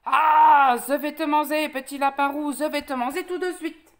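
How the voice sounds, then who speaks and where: A woman voicing a hungry, gullible male big bad wolf from a children's storybook, with a lisp and clumsy, slow-witted speech. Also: sits high, close to an everyday voice. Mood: menacing. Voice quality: lisping.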